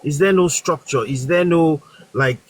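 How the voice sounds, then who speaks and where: Man, high-pitched, sarcastic live-debate lecturer. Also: measured pace, poor audio. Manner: sarcastic, mock-questioning, lecturing. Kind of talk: heated live audio debate.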